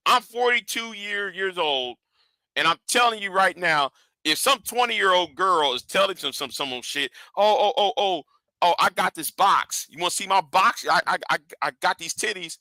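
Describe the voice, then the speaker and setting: A man's voice: high voice, expressive, moderate pace, bright, forceful tone, no echo, rough recording. A man in his forties, a live stream host, rambling in casual commentary with lots of stammered repeats. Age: 40s.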